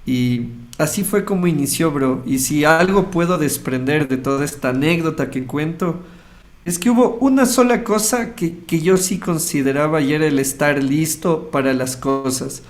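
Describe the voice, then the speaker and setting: A man's voice: a little high, normal speed. A man with an Ecuadorian accent, casually telling a personal story as an interview guest, acting out bits of dialogue as he goes. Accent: Ecuadorian Spanish. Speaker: radio host interviewed as guest.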